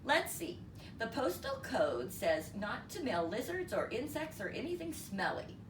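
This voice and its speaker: Pondering postmaster, voiced by a woman, raised pitch. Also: very animated, unhurried. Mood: bemused, pondering. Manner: mumbling, pondering, dryly humorous. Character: bemused male small-town postmaster, dryly good-humored. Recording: roomy, distant mic, noisy.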